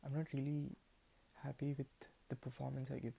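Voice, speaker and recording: A man's voice: medium pitch, flat delivery, a little fast, vocal fry, mellow, slightly rough. A man in his twenties whose first language is Telugu, talking unscripted to a camera in a hesitant way, with many restarts and repeated words. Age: 20s. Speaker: vlogger.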